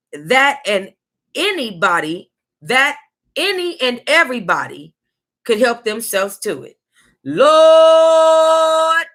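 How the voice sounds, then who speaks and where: An American woman reading a memoir aloud as a book club host, with sassy, exclamatory asides and drawn-out emphasis. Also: fairly high pitch, bright, forceful tone.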